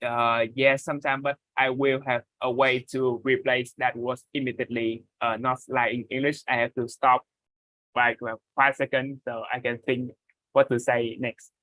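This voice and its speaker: A man's voice: medium pitch, level tone, moderate pace, pushed and bright, dry recording, poor audio. A man from Vietnam, learning English on a video call from his room, hesitant and full of fillers. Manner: hesitant, many fillers, nervous laughs. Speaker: English learner in an online class.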